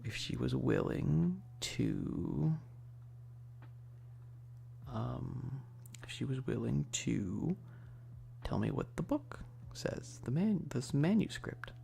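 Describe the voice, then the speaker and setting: Male ASMR streamer, very gravelly fry, hushed rambling chat, animated intonation, slight background hum. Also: mid-range voice, slow, long pauses. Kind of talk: casual ASMR livestream chat.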